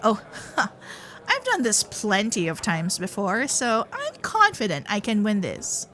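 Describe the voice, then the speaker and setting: A woman voicing a sweet, playful fox-spirit girlfriend in an ASMR roleplay, coy and affectionate, with soft hesitations. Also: mid-range voice, huge pitch swings, slow, a little hiss or hum, heavily acted. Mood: confident, teasing. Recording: festival crowd and fireworks sound effects.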